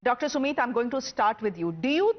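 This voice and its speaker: A woman with an Indian accent anchoring a TV news debate, delivering a scripted monologue with emphatic rhetorical questions and dramatic pauses. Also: a little high, sing-song, muffled recording.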